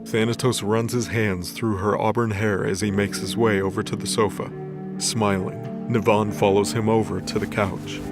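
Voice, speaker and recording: Guy with lowish voice reading dramatic story narration, music behind him. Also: flat delivery, normal speed.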